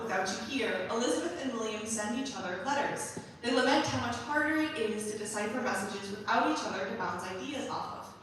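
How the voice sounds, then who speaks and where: A woman introducing her musical at a staged reading, explaining the story in a clear, prepared way. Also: a little high, fairly bright tone. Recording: echoey hall from far off, faint noise.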